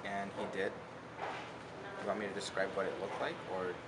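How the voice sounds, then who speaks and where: A young man from California, a college student, calmly answering interview questions in a measured way with some fillers. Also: on the low side, creaky. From Santa Clara, California. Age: young adult. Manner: calm, measured storytelling with fillers. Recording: loud background noise.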